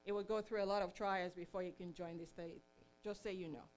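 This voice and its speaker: A woman's voice: lowish voice, fairly even intonation, a little fast, darkish tone. A middle-aged woman giving an informal live presentation at a meetup, chatty and self-deprecating as she addresses the room.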